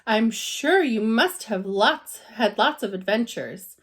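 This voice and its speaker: A woman voicing a gentle, concerned mother in a children's storybook. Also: fairly high pitch, expressive, slow pace, slightly rough, exaggerated voice. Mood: kindly.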